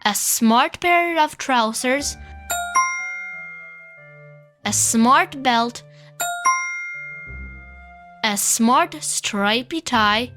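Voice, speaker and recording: A woman reading a children's picture book aloud, slow, clear and expressive. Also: fairly high pitch. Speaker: storybook reader. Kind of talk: read-aloud narration for children. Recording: faint background music.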